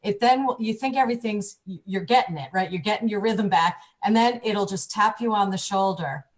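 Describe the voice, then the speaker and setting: A woman working as a divorce coach, explaining calmly on a talk panel, often restarting her sentences. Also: medium pitch, a little fast. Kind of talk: panel discussion.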